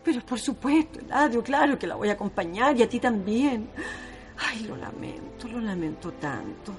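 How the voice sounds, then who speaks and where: An actress plays a compassionate city woman in a Chilean radio melodrama. Her highish voice swings widely with emotion, exclamatory and distraught, in a Chilean accent over clear background music. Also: expressive, moderate pace, natural voice. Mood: pained sympathy. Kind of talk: melodramatic radio drama acting.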